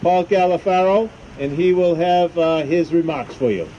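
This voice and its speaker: A man acting as master of ceremonies, making announcements and reading out names in a plain, deliberate way. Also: on the high side, dark tone. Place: outdoor evening ceremony in a park. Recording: muffled, faint outdoor hum and traffic noise.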